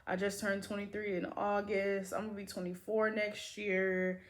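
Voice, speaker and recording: A woman's voice: mid-range voice, flat delivery, normal speed. Twenty-something girl chatting to her camera, upbeat, says "like" a lot. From United States. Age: 20s. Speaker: beauty vlogger. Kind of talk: casual chat to camera.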